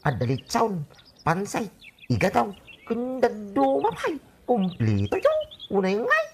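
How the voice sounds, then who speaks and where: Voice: mid-range voice, wildly swooping pitch, unhurried, slight background noise. A performer playing a boisterous, scheming villager in an Ilocano radio comedy drama, with exaggerated exclamations. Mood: boisterous, excited.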